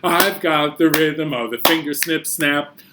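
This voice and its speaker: A man's voice: fairly high pitch, unhurried, noisy background. A man hosting a children's storytime, reading and singing playfully and expressively with sudden emphasis. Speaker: children's storytime host, librarian reader. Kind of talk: animated storytelling and songs for young children.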